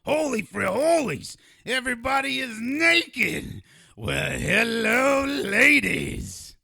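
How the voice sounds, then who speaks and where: An actor playing a funny-looking little magical man in an audio drama, wisecracking, sarcastic and lecherous, with a raspy, creaky voice. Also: very high voice, sing-song, slow pace, sharp tone, exaggerated voice. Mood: lecherous delight. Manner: sarcastic, griping, exclamatory.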